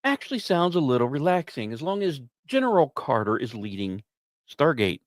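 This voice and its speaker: A man's voice: medium pitch, very animated, normal speed, dry recording, clean studio sound. A man hosting a podcast, narrating scripted science news with wry, self-deprecating asides and theatrical emphasis. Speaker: podcast host.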